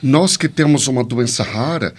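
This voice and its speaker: A man's voice: mid-range voice, normal speed, breathy edge. A man with a Brazilian accent, a priest speaking conversationally as a podcast guest.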